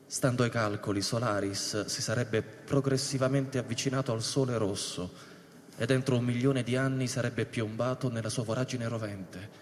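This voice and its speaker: A man reads dramatic narration for a radio play in a mid-pitched voice. His delivery is measured and expository, with long reverb and faint ambience behind him. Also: steady tone, a little hiss or hum.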